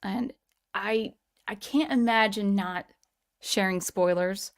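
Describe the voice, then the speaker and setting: A woman's voice: mid-range voice, slow pace. Chatty woman hosting a live stream. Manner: chatty, thanks viewers by name, frequent restarts. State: voice slightly strained. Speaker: livestream host. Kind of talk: casual live-chat streaming.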